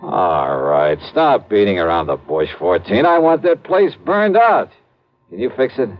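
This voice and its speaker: An actor playing a shrewd, dry-witted insurance investigator in an old radio drama, speaking in a clipped, wry way. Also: male voice, on the low side, very animated, unhurried, muffled recording. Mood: pushy, impatient. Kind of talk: 1940s-50s radio detective acting. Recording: band-limited old radio recording, faint music.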